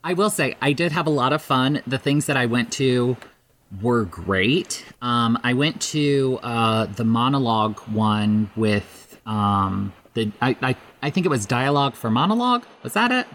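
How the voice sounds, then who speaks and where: A podcast guest chatting casually in a halting, hesitant way. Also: mid-range voice, animated, fairly bright tone, a little hiss or hum. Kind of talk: casual chat.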